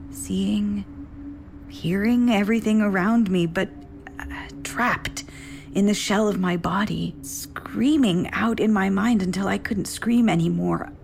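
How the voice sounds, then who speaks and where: Actress as fragile tender woman, gravelly edge, hesitant audio drama acting, some noise behind. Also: mid-range voice, darkish tone. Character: Michelle, fragile, tender lost love back from a coma.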